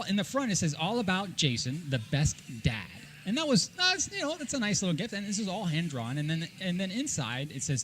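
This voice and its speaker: Animated higher-voiced man chatting. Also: a little hiss or hum. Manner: animated, laughs often. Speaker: podcast co-host. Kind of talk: casual podcast chat and storytelling.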